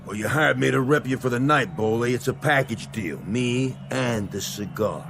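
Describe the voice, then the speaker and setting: A man's voice: mid-range voice, normal speed, gravelly, music in the background, close to an everyday voice. An actor playing a sleazy, mocking fight manager in a radio drama, needling as he talks. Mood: smug. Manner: sneering, needling.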